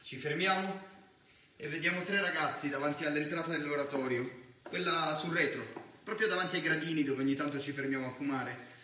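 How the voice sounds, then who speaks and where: A man's voice: fairly high pitch, pushed and bright. An actor playing an anxious, streetwise teenage boy in an emphatic, colloquial theatrical monologue. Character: anxious, restless teenage boy, streetwise student narrating his evening. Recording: echoey theatre stage from far off.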